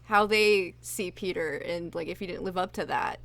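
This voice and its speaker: A woman's voice: fairly low pitch, normal speed, gravelly, fairly bright tone. A woman chatting casually as an actress being interviewed as a guest.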